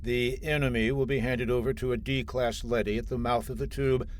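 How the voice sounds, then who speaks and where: A man's voice: mid-range voice, flat delivery, normal speed, a little hiss or hum, natural voice. A man playing a cold, unyielding army colonel, formal and authoritative, in old-time radio drama style. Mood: cold, menacing.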